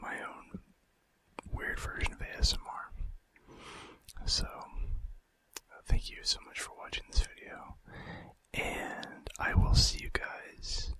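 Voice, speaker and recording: Male ASMR host, breathy whisper, unhurried pace, informal, hesitant. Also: sharp tone, poor audio. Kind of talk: whispered ASMR fact video.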